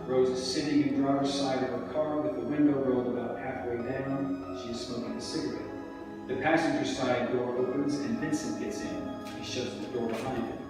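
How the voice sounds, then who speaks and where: A man's voice: mid-range voice, distant mic. A man reading stage directions as scripted narration at a table reading. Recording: very echoey hall from afar, music.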